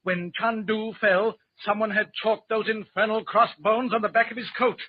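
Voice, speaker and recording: An actor playing a shaken, deferential colonial aide in a 1940s radio drama, breathless and hesitant. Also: male voice, high-pitched, fairly even intonation, normal speed, no echo. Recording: dull old radio recording.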